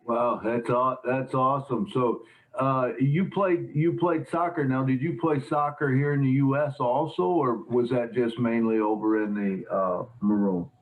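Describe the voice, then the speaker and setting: A male show host in a casual interview who talks haltingly, repeating words and restarting his sentences often. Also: mid-range voice, poor audio.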